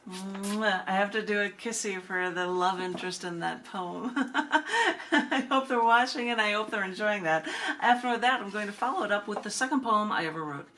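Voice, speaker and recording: A woman hosting a poetry reading, speaking expressively and deliberately, with casual asides. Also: medium pitch, fairly bright tone. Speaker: poet and reading host. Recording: clearly noisy room recording.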